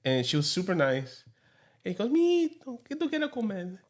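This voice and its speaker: A man's voice: sits high, normal speed. A young man co-hosting a podcast, telling stories in casual chat with frequent laughter and Spanish mixed into his English. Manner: laughs often, slips into Spanish, animated storytelling. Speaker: podcast co-host.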